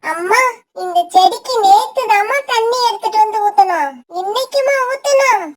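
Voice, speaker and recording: A woman's voice: very high-pitched, expressive, moderate pace. A woman voicing a small, plaintive child sparrow in a children's cartoon story. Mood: questioning.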